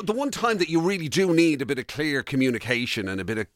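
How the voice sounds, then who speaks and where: A man's voice: a little high, sing-song, fast talker, dry recording, studio quality. An Irish man co-hosting a radio show with casual, wry, mock-serious banter. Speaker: radio show and podcast co-host. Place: radio studio.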